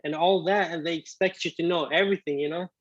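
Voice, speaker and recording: A man in his twenties, born in Iraq with Arabic as his first language, telling his story as a guest in a casual interview, halting with many restarts. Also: a little high, fairly even intonation, dry recording, highs cut off. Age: 20s. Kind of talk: casual video-call interview.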